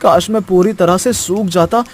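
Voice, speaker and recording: Man voicing a high-pitched earnest little schoolboy. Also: steady tone, normal speed, faint music behind. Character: earnest, kind-hearted young schoolboy in a fairy tale. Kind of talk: children's story voice acting.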